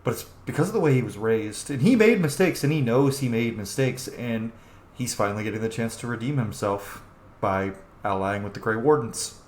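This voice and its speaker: A man's voice: mid-range voice, expressive, normal speed, echoey room, slight background noise. A man hosting a game playthrough, commenting casually in an opinionated, informal way with plenty of swearing. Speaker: gaming video host, player.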